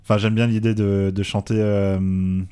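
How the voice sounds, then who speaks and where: A young man's voice sits very low, his answers halting and full of fillers as he explains his band's work. He talks casually, restarting his sentences as he searches for words. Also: little pitch movement, mellow, clean tone. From France. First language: English. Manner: hesitant, many fillers and restarts. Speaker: band singer, interview guest. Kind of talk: casual radio interview.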